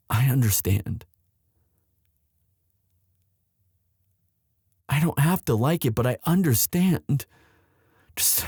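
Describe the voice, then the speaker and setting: A man playing an anxious, protective young prince in love, speaking earnestly and haltingly in a romantic roleplay audio drama. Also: medium pitch, darkish tone, breathy edge, no echo, clean studio sound. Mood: earnest, worried. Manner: earnest, halting, tender delivery.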